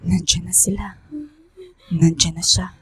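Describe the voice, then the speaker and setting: An actress playing a grave, commanding professor and mystical mentor, speaking deliberately and portentously in a radio drama. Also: female voice, lowish voice, flat delivery, bright, forceful tone, slight background noise. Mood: ominous whisper.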